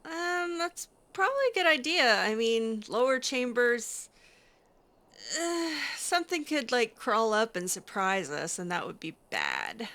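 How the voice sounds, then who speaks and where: A woman's voice: a little high, huge pitch swings, a little slow, pushed and bright. A woman playing a video game in character, giving casual commentary with wry, sarcastic, skeptical asides. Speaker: video game player roleplaying as a mage character.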